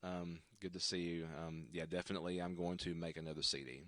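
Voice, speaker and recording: A man with a deep baritone voice, worn out and scratchy, chatting haltingly to viewers at the end of a worship livestream from home. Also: little pitch movement, fairly bright tone, no echo. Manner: halting, many ums and restarts. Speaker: worship singer hosting a livestream. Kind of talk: casual livestream sign-off chat.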